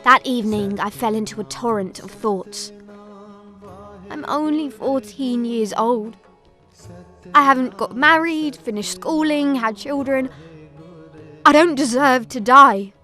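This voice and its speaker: A woman's voice: sits high, measured pace, long pauses, bright, forceful tone, soft background music. A woman playing a frightened fourteen-year-old girl, telling her story with dramatic emotion in an audio drama. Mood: fearful, intense. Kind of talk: dramatic first-person audio drama narration.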